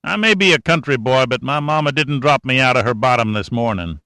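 A man voicing a folksy, excitable country-boy sergeant mechanic with a drawling, colloquial manner, in an audiobook reading. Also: mid-range voice, fairly quick, dry recording, studio quality.

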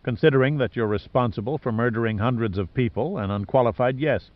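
A man's voice: medium pitch, fast, no room sound, muffled recording, natural voice. A man voicing a principled, defiant male hero in an audiobook, blunt and accusing. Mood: cold accusation. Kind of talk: audiobook character dialogue.

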